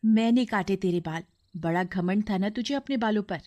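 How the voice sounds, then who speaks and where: A woman's voice: medium pitch, moderate pace, dark tone, lightly acted. Woman as a spiteful jealous teenage stepsister. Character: jealous, spiteful fifteen-year-old stepsister.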